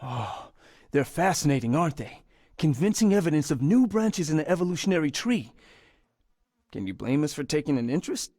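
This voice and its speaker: A man's voice: on the high side, very animated, brisk, darkish tone, no room sound, studio quality. An actor playing an earnest university scientist in a video game, polite and a little hesitant as he explains things. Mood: enthused, sheepish. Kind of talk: video game dialogue acting.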